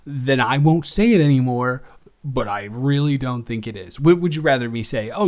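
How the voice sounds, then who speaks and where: A man plays a young male roommate in an intimate audio roleplay. He is affectionate and teasing, easily flustered, and talks in a halting, natural way, often correcting himself. His pitch sits in an ordinary male range. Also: close to an everyday voice. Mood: flustered, defensive. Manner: halting, conversational, self-correcting. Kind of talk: improvised intimate boyfriend-style audio roleplay.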